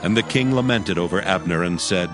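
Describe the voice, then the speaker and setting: A man giving a measured, scripted narration of scripture. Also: deep voice. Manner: measured, even reading. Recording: music bed under voice.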